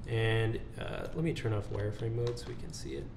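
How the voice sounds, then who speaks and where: A man with a lowish voice talks through a software tutorial in an informal way, thinking aloud as he goes. The recording is clearly echoey and noisy, with computer keyboard typing behind him. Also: animated, a little fast. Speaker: tutorial presenter. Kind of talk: casual screen-recorded software walkthrough.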